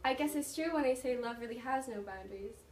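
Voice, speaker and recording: A woman performing a proud mother and wartime postwoman in a measured, declamatory slam poem. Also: on the high side, darkish tone, natural voice. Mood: reflective, indignant. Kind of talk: dramatic slam poetry recital. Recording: noisy, echoey room, mic far off.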